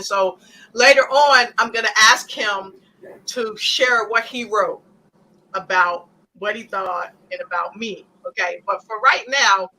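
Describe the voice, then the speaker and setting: A woman hosts an online talk show, upbeat and full of enthusiasm. Her voice is bright and forceful, coming through a video call. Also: a little high, low-quality recording. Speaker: talk show host and author.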